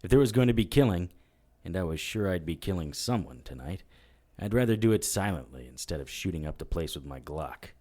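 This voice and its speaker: A man's voice: deep voice, animated, fairly quick, dark tone. A man playing a world-weary, wry investigator, narrating a noir audio drama in the first person. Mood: dry, resolute. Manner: dry, matter-of-fact, wry.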